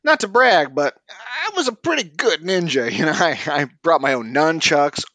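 A man voices an eager office guy who rambles and says the wrong thing. His pitch hops around a lot. The audio is dry and close. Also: expressive, moderate pace, pushed and bright, no room sound. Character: clueless chatty male office coworker. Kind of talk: comedy sketch.

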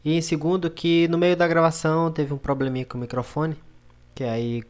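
A man's voice: on the high side, flat delivery, a little fast, darkish tone. Man with a cold, teasing podcast host. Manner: teasing, laughs often. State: has a cold. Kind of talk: casual couple chat. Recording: laptop mic, faint music.

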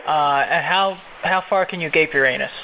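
A man calmly poses ridiculous survey questions in a straight, mock-official tone. His slightly high voice sounds narrow and phone-like, with a faint hiss underneath. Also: normal speed, vocal fry. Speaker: prank caller. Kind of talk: prank phone call.

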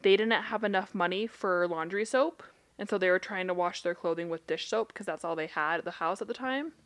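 A woman's voice: lowish voice, fairly quick. A woman who is a licensed therapist and a podcast co-host, talking in a blunt, casual way with swearing and frequent laughter. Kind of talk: casual chat.

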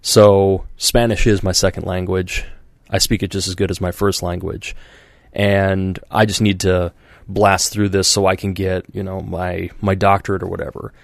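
A man with a fairly low voice talks through a casual podcast conversation, rambling and restarting, filling with "like" and "you know", slipping into quoted voices. The sound is dry and close, with no room around it. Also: level tone, moderate pace, vocal fry, no room sound. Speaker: podcast host. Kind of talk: casual chat.

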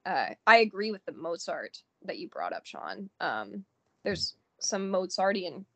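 A woman's voice: on the low side, big pitch swings, unhurried, pushed and bright. A woman co-hosting a podcast, a horn player who talks through musical details thoughtfully in casual conversation. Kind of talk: casual music analysis chat.

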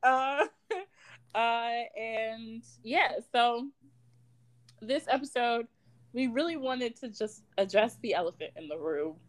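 A woman in her twenties from Chicago, co-hosting a casual fan podcast, bubbly and quick to laugh. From Chicago, Illinois. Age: 20s. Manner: bubbly, laughs a lot, animated swings in pitch and volume. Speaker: podcast co-host. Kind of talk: casual chat.

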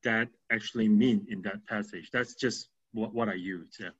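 A man in a class discussion, speaking haltingly and searching for words. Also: fairly low pitch, fairly even intonation, breathy edge. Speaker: class participant. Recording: muffled, lo-fi.

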